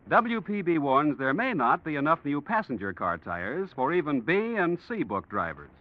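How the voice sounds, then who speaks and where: Male 1940s radio pitchman, mid voice, animated intonation, scripted delivery, muffled vintage broadcast. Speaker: radio announcer.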